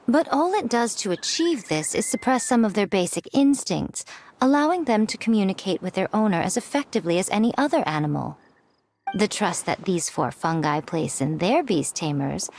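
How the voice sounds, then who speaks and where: An actress playing a poised, cunning, coolly condescending mentor in an anime video game, elegant and teasing in her superiority. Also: medium pitch.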